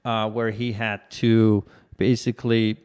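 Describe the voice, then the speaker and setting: A man speaking in a fairly low voice. He answers interview questions in a relaxed, thoughtful way, pausing on fillers and restarting his sentences. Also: clean tone. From Armenia. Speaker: startup co-founder, guest.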